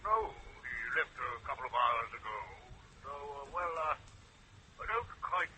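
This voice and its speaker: An actor playing a hesitant, halting heart specialist in a radio play. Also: male voice, medium pitch, lively intonation, very noisy, lightly acted. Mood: flustered. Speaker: doctor.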